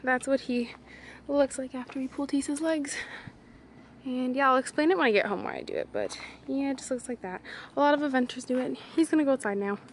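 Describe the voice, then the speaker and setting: Teenage girl, higher voice, casual vlog chat, fillers, phone-camera audio, noisy background. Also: fairly quick. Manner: chatty, filler-heavy, often drops to a whisper. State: sweaty and out of breath after riding. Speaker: vlogger. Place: indoor riding arena and barn. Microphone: phone camera at arm's length.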